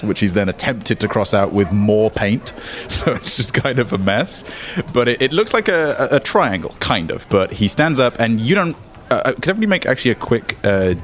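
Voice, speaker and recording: A man narrating as game master, mixing descriptive scene-setting with wry, joking asides. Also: on the low side.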